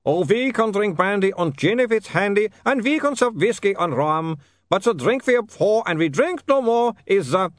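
A man's voice: fairly high pitch, very animated, moderate pace, no room sound, clean studio sound, voice pushed up. A man voicing an anguished, polite male vampire in an audiobook, with a trembling, wailing voice and melodramatic, pleading delivery. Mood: strained singing.

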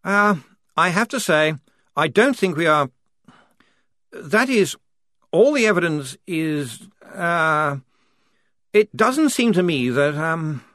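A man's voice: a little high, animated, slow, long pauses, a bit bright, no room sound, studio quality, pitched above the performer's own voice. A man voicing a fussy, nervous young army lieutenant who stammers and frets politely. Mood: hesitant.

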